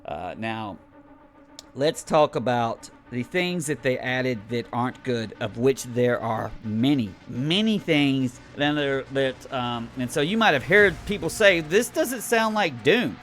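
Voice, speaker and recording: A man's voice: medium pitch, animated, takes it slowly, fairly bright tone, a little hiss or hum. A man giving an unscripted, casual game review in a rambling, blunt, griping and sweary way.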